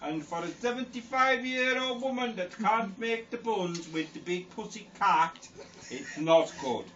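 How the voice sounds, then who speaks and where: A man doing a fussy seventy-something granny in a high, swooping, prim falsetto, drawn out and slow. The sound is distant and dull, with a hiss behind it. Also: expressive, a bit bright. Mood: prim indignant. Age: 70s. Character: fussy old grandmother in bed. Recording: distant lo-fi home recording with hiss.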